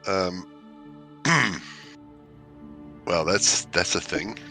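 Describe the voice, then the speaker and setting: A man with a very deep but bright, forward tone speaks as a player at a tabletop game. His phrasing is polite and clipped, with an old-fashioned ring. Faint music plays underneath. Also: lively intonation, very slow, gravelly.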